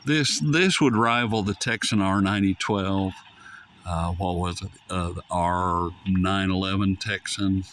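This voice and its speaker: A man's voice: low voice, fairly even intonation, a little slow, fairly bright tone. A man giving a casual, unscripted radio demonstration with folksy enthusiasm, as a hobbyist presenter. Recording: noisy, with shortwave radio static.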